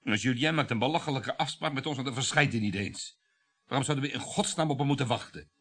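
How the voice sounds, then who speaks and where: An actor playing a gruff, impatient husband in his fifties in a radio play, curt and sarcastic. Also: mid-range voice, quick pace, fairly bright tone, natural voice. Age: 50s. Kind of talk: radio drama acting.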